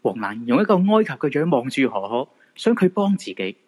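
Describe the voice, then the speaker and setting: A man's voice: mid-range voice, big pitch swings, fairly quick, darkish tone. A man with a Hong Kong Cantonese accent giving dramatic scripted narration for a radio drama.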